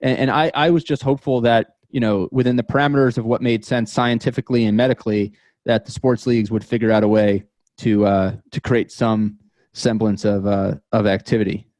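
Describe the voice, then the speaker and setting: An American man in his thirties telling personal stories as an interview guest, thoughtful and halting, often repeating words as he goes. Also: fairly low pitch, steady tone, darkish tone, no room sound. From New York metropolitan area, United States. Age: 30s. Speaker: podcast guest. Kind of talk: reflective interview storytelling.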